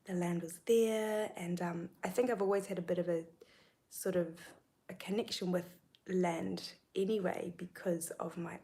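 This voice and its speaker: A young woman with a New Zealand accent talking casually on a live stream, soft and often half-whispered, with many ums. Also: mid-range voice. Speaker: spiritual content creator.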